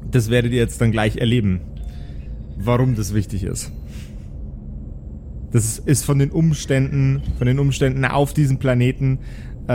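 Game master: man, mid-range voice, improvised storytelling with searching phrasing, tabletop audio play, clear noise. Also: mid-range voice, warm tone. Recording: clearly noisy background.

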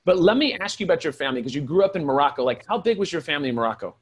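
A man's voice: on the high side, big pitch swings, breathy edge. A man hosting a marketing podcast, chatting loosely and enthusiastically with his guest. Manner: fast, loose, enthusiastic with fillers. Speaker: podcast host. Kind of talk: casual interview chat.

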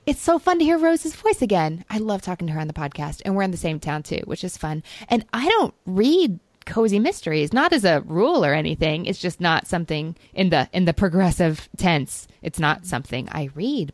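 A woman's voice: on the low side, sing-song, normal speed, no room sound. A woman hosting a podcast, chatting casually and reacting with enthusiasm. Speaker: podcast host. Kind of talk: casual chat.

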